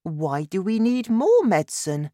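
Woman playing an earnest high-pitched little boy. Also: very animated, measured pace, mellow, dry recording, studio quality. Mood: earnest, worried. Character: polite young boy, earnest and worried. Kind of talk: children's storybook character voice.

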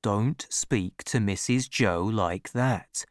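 A reader voicing a gentle village blacksmith who speaks quietly and calmly in a lowered voice. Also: slow pace, clean tone, no room sound, studio quality. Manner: quiet and calm.

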